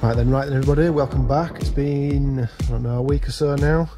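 A man talks through a hands-on job in a parked car. His voice sits at a middling pitch, and he speaks informally and a little hesitantly, working things out as he goes. Loud music plays behind him. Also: fairly even intonation, fast. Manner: hesitant, thinking aloud. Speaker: vlogger showing a DIY vehicle project. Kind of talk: casual vlog commentary while working.

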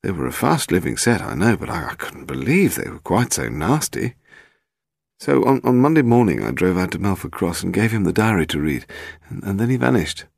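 A man voicing an anxious, hesitant male publisher in an audiobook, halting and stammering as he talks. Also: low voice, very animated, gravelly, husky, dry recording, clean studio sound, heavily acted. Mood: troubled. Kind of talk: audiobook character voice.

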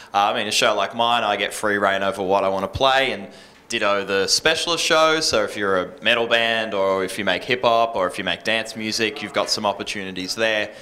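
Bright-voiced Australian man, low pitch. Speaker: radio presenter on a panel. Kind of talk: informal panel talk. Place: stage in an indoor venue with a small audience. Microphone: handheld microphone.

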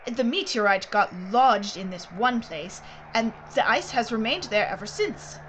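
An actress playing a brisk, secretive mining executive in an audio drama, speaking in a precise, formal way. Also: female voice, on the high side, animated. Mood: brisk, explanatory. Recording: clear background noise and ambience.

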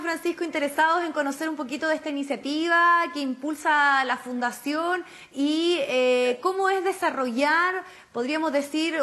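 A woman's voice: high voice, a bit bright. A woman in her thirties interviewing a guest on a television news program from home, asking long, winding questions. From Chile. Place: home room.

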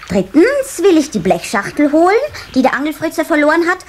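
A woman playing an eager, cheeky little water sprite boy in a children's radio play, excitable and wide-eyed. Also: sits high, a little fast. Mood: cheeky, determined. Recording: faint hiss of old cassette.

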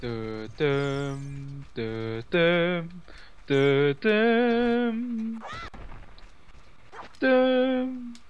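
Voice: mid-range voice, animated, very slow, pauses often, slight background noise. A young co-commentator bantering casually over a game, goofy and teasing, often sing-song. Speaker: guest co-commentator. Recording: game music behind the voice. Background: video game music.

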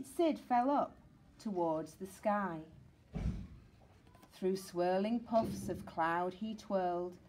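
A woman reading a children's picture book aloud, deliberate and expressive. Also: medium pitch. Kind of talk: children's storybook narration.

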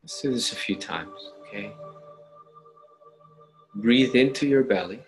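A man leading a guided meditation, giving gentle, unhurried step-by-step instructions with pauses. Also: medium pitch, soft background music.

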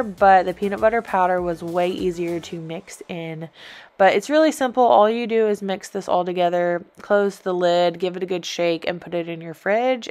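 A woman's voice: lowish voice, darkish tone. A woman giving a chatty, informal voice-over as a vlogger explaining meal prep. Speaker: vlogger, host. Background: background music.

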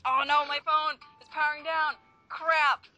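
A woman's voice: high voice, level tone, normal speed, noisy background. A woman co-hosting in casual, teasing, sweary banter. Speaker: video co-host.

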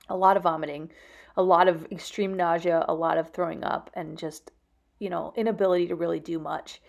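A woman's voice: fairly low pitch, creaky, a little mellow. A woman in her thirties, a homemaking vlogger, answering questions casually in a candid, reflective, explanatory way.

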